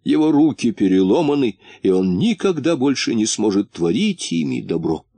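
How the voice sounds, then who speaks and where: A man voicing an anxious, soft-hearted, tearful little storybook character who laments and stammers. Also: fairly low pitch, huge pitch swings, fairly quick, no room sound, heavily acted.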